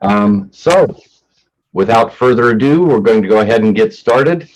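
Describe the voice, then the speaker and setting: A man with a fairly low voice serves as the announcer and narrator of a staged reading. His delivery is plain and matter-of-fact, suited to stage directions. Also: animated, moderate pace, rough recording.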